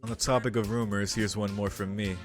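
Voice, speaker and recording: Male game streamer, lowish voice, casual reading and commentary, soft music behind. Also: little pitch movement, fast talker.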